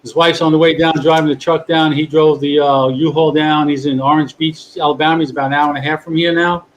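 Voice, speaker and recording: A man's voice: fairly high pitch, flat delivery, brisk, a little hiss or hum, low-quality recording. Chatty sixty-year-old New man. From Long Island, New York. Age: 60s. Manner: chatty, rambling, restarts often. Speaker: podcast host. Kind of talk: casual chat.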